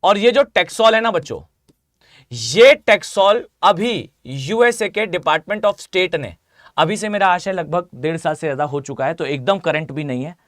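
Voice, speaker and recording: A hoarse man with an Indian accent teaching a live online class, often asking students to reply. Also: sits high, animated, a little slow, fairly bright tone, dry recording, studio quality. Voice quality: mixes Hindi with English phrases. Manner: addresses students directly, asks them to reply.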